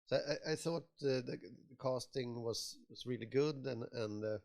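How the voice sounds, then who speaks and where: A man with a Swedish accent co-hosting a podcast, talking slowly with many pauses and fillers. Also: medium pitch, creaky, a little mellow. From Sweden. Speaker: podcast co-host. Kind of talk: casual chat.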